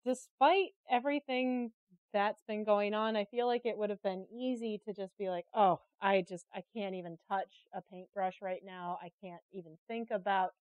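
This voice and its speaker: A woman talking casually and candidly as an interviewed artist, chatty, expressive and a little self-deprecating. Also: mid-range voice, normal speed, smooth, dry recording, narrow, tinny audio. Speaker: interviewed artist and creative director. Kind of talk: casual, candid podcast conversation.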